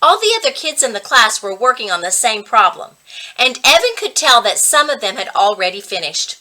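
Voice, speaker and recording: A woman reading a children's story aloud as an expressive narrator, with dramatic pauses and stretched emphasis on key words. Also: a little high.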